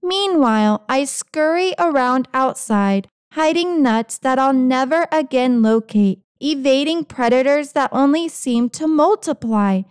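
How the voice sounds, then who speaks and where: Woman playing an indignant, scolding high-voiced squirrel. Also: lively intonation, unhurried, few pauses, smooth, dry recording, clean studio sound. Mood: scolding, exasperated. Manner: emphatic, preachy. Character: indignant, scolding wild squirrel lecturing the cat. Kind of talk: picture-book read-aloud.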